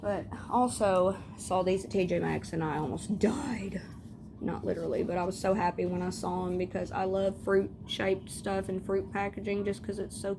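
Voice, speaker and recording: Gravelly chatty woman. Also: mid-range voice. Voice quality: scratchy voice. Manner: rambling, chatty, tangents and mild swearing. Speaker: beauty vlogger. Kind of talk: casual chat.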